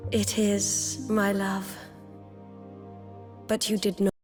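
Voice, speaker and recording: An actress playing an otherworldly, loving and remorseful queen in a fantasy game, solemn, formal and measured. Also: mid-range voice, monotone, music in the background, close to an everyday voice. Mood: solemn confession. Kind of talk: theatrical fantasy game acting.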